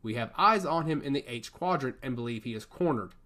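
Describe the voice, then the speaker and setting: A man's voice: mid-range voice, animated, fairly quick, bright, forceful tone. A man reading the part of an army captain giving urgent orders over a broadcast in an audiobook. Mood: commanding.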